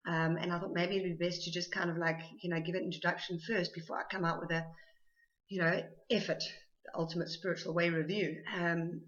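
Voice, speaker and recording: A woman's voice: on the low side, flat delivery, brisk, fairly bright tone, lo-fi sound. A South African woman chatting casually to camera for her vlog, rambling with lots of ums and restarts. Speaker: vlogger.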